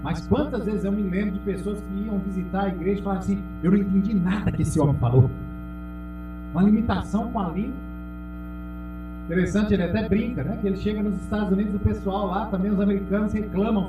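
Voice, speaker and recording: Brazilian preacher in an echoey church. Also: male voice, quick pace. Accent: Brazilian Portuguese. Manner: emphatic preaching, calls out to the congregation, drops low and loud on key words. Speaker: pastor. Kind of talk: sermon. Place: church hall. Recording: echoey, from far off, music behind.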